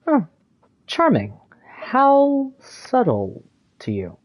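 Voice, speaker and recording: Man playing a husky, stammering young adventurer. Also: very high-pitched, wildly swooping pitch, exaggerated voice. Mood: bemused. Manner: stammering, halting. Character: hesitant, flustered young adventurer. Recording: dull, lo-fi microphone with faint music.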